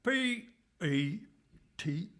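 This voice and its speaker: A man's voice: high voice, animated, fairly bright tone, heavily acted. A man playing a dim, bumbling, good-natured police constable in a comic radio play before a live audience. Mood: dim, dithering. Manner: slow, bumbling.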